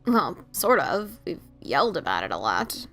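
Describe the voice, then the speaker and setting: Woman playing a brash, creaky-voiced pony adventurer. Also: on the low side, wildly swooping pitch, normal speed, a bit bright, soft background music, full character voice. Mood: defensive. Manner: hesitant, stammering, casual. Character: brash, self-doubting female pegasus pony adventurer-writer. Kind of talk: audio drama dialogue of fan fiction.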